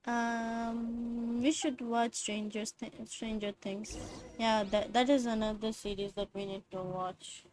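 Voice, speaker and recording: A woman's voice: a little high, little pitch movement, takes it slowly, a little mellow, slight background noise, poor audio. A woman with an Indian accent giving casual game livestream commentary, slangy, teasing and joking as she reacts.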